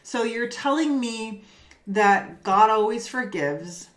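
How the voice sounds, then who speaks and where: A woman from Denmark, a spiritual business coach, telling a personal story in a reflective, halting way with many restarts. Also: a little high, expressive. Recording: roomy, echoey room.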